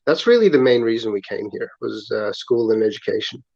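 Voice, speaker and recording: A man's voice: on the low side, lively intonation, brisk, gravelly, a little mellow, dry recording, dull audio. A man in his thirties from Kuwait with an international school English accent, chatting casually and rambling through stories as an interview guest. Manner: talkative, self-deprecating, hesitant phrasing. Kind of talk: casual, rambling conversational storytelling.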